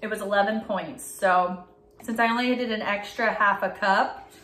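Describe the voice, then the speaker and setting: A woman's voice: medium pitch, steady tone, normal speed, bright, forceful tone. A young woman chatting casually to viewers in an upbeat vlog, talking while she eats in her home kitchen. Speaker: vlogger.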